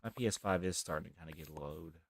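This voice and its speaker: A young man with a deep voice, rambling softly through casual live gameplay commentary as a streamer. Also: fast, vocal fry, a little hiss or hum. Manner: rambling, talks softly, near whispering.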